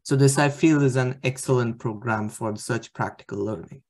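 Brief young male student from India, mid-pitched, halting presenter on a video call. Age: young adult. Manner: hesitant, many fillers. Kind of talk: slide presentation talk. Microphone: webcam on video call.

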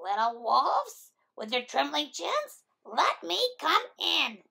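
A woman voicing the big bad pig, a menacing male bully who draws out his threats slowly. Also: sits high, very animated, creaky, bright, forceful tone, pitched above the performer's own voice, full character voice. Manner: slow drawn-out threats.

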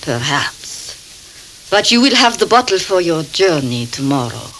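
An actress playing a solicitous, foreboding woman innkeeper with an Eastern European accent in a radio drama, halting and deferential. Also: on the low side, very animated, a bit bright, full character voice, rough recording. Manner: halting, tag questions, deferential. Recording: hissy 1979 cassette tape.

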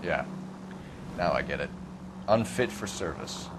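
Serious young detective, noisy background. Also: male voice, a bass voice, lively intonation, a little slow. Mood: prickly, curt. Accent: American. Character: intense, earnest young rookie police detective, war veteran. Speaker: police detective. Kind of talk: video game cutscene acting.

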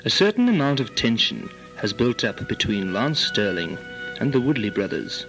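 A man's voice: on the low side, sing-song, moderate pace, a bit bright, soft background music, low-quality recording. A man narrating a comic audio drama as a storyteller.

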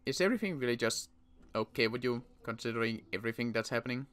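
A young man playing a weary survivor in a Minecraft roleplay, talking in halting bursts with sighs and restarts. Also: medium pitch, expressive, a bit bright, clear, resonant tone. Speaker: roleplay player and channel host.

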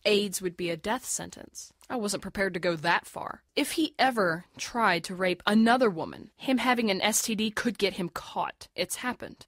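An actress in an audio drama playing a defiant, sarcastic, fiercely protective young medical student, blunt and emotionally intense. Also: female voice, medium pitch, a bit bright, no room sound, clean studio sound, natural voice. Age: young adult.

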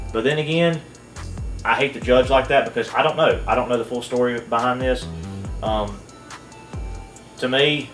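A man's voice: medium pitch, a bit bright, reverberant. A young man co-hosting a casual video review, skeptical and hedging, thinking aloud with many fillers. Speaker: co-host. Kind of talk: casual video analysis chat. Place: small indoor room at a table.

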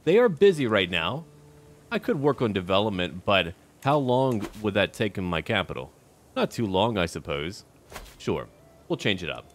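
Deep-voiced man commentating a strategy game. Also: very animated, normal speed, a little hiss or hum. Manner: musing aloud in short clipped remarks. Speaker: gaming video host.

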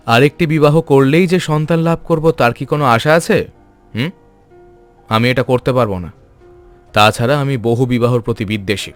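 A man voicing a principled, kindly scholar and reformer in a cartoon, speaking in an earnest, measured, resolute way. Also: mid-range voice, soft background music, close to an everyday voice. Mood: firm, reassuring. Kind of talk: dramatic cartoon voice acting.

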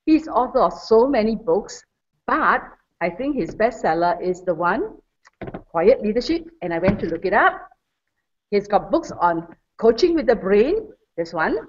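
A woman's voice: mid-range voice, very animated, a little slow. A woman moderating a webinar, giving a formal introduction of a guest speaker and reading out his credentials. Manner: warm, formal, reads credentials. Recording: dull lo-fi webinar audio.

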